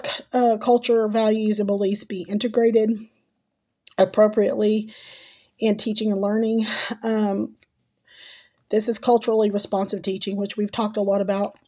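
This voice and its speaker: A middle-aged woman who is ill and losing her voice answers course questions in a halting way, with many ums and restarts. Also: mid-range voice, warm tone. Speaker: teacher and graduate student. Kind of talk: recorded class assignment answers.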